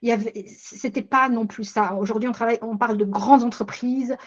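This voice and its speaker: A woman's voice: fairly high pitch, steady tone, a little fast, dull audio. An older woman hosting a webinar, recapping and reframing her guest's points in a thoughtful way over a video call. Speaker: webinar host. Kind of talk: webinar discussion, summarizing.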